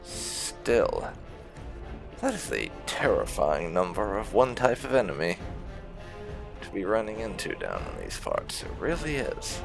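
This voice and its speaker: Man commentating with very animated intonation. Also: lowish voice, a little slow, vocal fry. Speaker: gaming video host. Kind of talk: casual game playthrough commentary. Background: game music.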